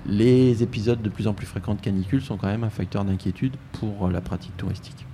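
A man with a deep, warm voice talks through a live radio interview about his tourist office. He hesitates and restarts often, and a light hiss sits under the recording. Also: steady tone, fast talker. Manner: hesitant, many fillers and restarts.

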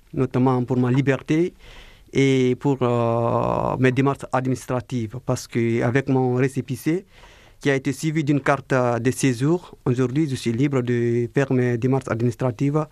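A young man in his twenties from Guinea answers a radio interviewer. He speaks slowly and carefully, pausing to find his words. His voice sits at a middle pitch, and the recording is dry and close. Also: a little mellow, no echo. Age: 20s. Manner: slow, careful speech with hesitations. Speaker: interview guest, apprentice baker.

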